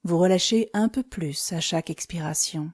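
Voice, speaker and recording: A woman guides a meditation in a fairly low voice with a very mellow, relaxed tone. She gives instructions calmly and soothingly, in short phrases separated by pauses. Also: breathy edge. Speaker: yoga nidra instructor.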